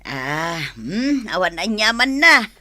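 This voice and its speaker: A woman acting a chatty, eager neighbourhood lunch seller in an Ilocano radio comedy drama, animated and exclaiming. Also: medium pitch, slow, full character voice. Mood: grumbling.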